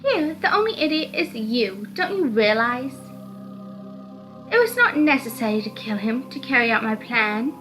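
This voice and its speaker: A seductive, malicious immortal mistress for a visual novel game, put on by a woman. Her voice sits high and she speaks in commands, gloating and condescending. Music plays behind her. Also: sing-song, normal speed, sharp tone, low-quality recording. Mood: scornful, proud. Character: seductive, proud, malicious supernatural mistress claiming a servant.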